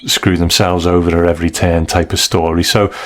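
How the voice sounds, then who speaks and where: A man co-hosting a casual review chat, speaking in a measured, understated way. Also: low-pitched, flat delivery. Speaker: podcast co-host.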